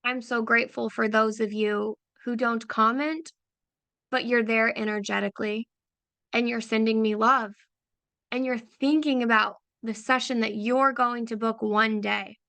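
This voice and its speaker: A woman with a slightly high, bright voice leads a meditation at a very slow, deliberate pace. Her tone is soft and affirming, with a gravelly edge of vocal fry, and the recording is dry and close. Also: steady tone, no room sound. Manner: soft, unhurried, affirming, repeating phrases. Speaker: meditation guide for creative business owners. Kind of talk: slow guided visualization.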